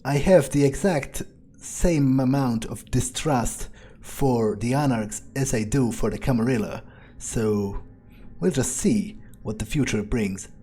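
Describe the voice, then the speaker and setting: Slow, mellow man musing in character. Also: medium pitch, slightly rough. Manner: deliberate, musing, thinking aloud. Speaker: gamer playing in character. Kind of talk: improvised in-character game commentary. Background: faint game music.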